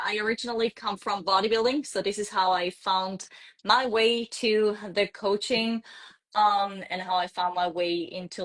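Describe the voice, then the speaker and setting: A woman from Switzerland talks as a guest over a live video call, chatty and casual, with frequent fillers and a ready laugh. Her pitch sits in an ordinary middle range for a woman. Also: a bit bright. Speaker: podcast guest, fitness coach. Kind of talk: casual live-stream chat.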